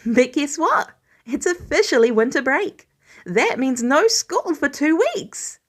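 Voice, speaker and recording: A woman's voice: high-pitched, big pitch swings, unhurried, pitched above the performer's own voice. A woman voicing a cheery, stubborn teenage boy with an eager, informal way of talking, in a story reading. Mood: excited, doting. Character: cheery, stubborn sixteen-year-old boy doting on baby sister.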